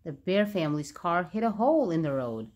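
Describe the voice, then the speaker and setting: Lively woman reading a children's storybook. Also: on the low side, brisk. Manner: expressive, clearly phrased for young children. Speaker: children's book read-aloud narrator. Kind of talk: storybook read-aloud.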